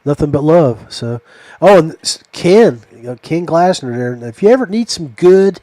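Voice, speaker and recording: A middle-aged or older man with a slightly higher-pitched voice and a relaxed, mellow tone. He hosts a casual podcast chat in a folksy, affectionate, rambling manner. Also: normal speed, dry recording. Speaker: podcast host. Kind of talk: casual chat closing a fishing podcast interview.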